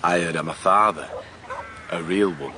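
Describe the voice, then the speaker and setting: An actor playing a cold, vengeful, possessive farm master in a radio drama, speaking with deliberate menace. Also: male voice, deep voice, background noise, lightly acted. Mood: cold, menacing.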